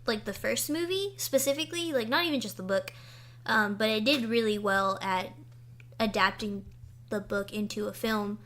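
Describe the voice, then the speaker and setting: A young woman co-hosting a casual podcast chat, excitable and gushing about things she loves. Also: mid-range voice. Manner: excitable and gushing, quick to say she will cry. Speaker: podcast co-host.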